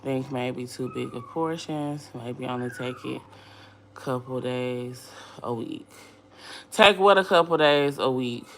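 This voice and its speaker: Woman, livestream host, low scratchy voice with a bright, forceful edge, chatty and upbeat with viewers. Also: slow pace. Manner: reads viewer comments aloud and answers them, chatty and upbeat. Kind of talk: casual livestream chat with viewers.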